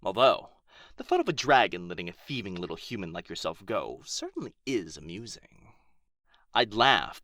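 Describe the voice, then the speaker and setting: A proud, wounded dragon guards his hoard in an ASMR fantasy roleplay, played by a man. His delivery is theatrical and taunting, swinging dramatically from drawn-out whispers to sharp, forceful outbursts. The sound is dry and intimate. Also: lowish voice, no room sound, exaggerated voice. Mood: amused, pained. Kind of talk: ASMR boyfriend roleplay acting.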